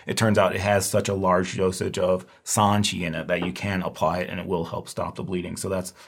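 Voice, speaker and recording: A man's voice: low-pitched, flat delivery, a little fast. A man with a scratchy voice that is giving out, teaching a review lesson in a loose, rambling way with lots of fillers. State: losing his voice, scratchy. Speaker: herbal medicine instructor. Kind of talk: casual lecture review.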